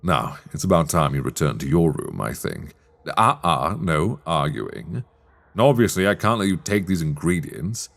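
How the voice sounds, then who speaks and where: A man acting a sly, teasing master of a magic academy in a dark fantasy ASMR roleplay, speaking in measured, wry, formal phrases. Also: deep voice, lively intonation, gravelly, exaggerated voice. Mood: wry, firm.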